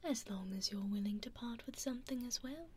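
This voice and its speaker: A woman with a British accent voicing a teasing aristocratic female vampire in an ASMR roleplay, whispering softly and slyly into a binaural mic. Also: a little high, brisk, a little hiss or hum, close to an everyday voice. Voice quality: soft whisper, sly and mocking.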